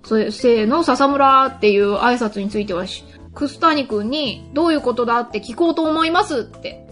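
A woman's voice: a little high, normal speed, a bit bright. A woman hosting a radio show, chatting and reading out listener mail, often breaking into laughter, with music behind her. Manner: laughs often while talking. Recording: music under the voice. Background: background music.

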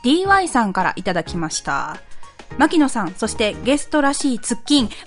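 A woman's voice: a little high, big pitch swings, brisk, soft background music. A woman co-hosting a hobby internet radio show, reading listener messages and reacting politely with some giggles.

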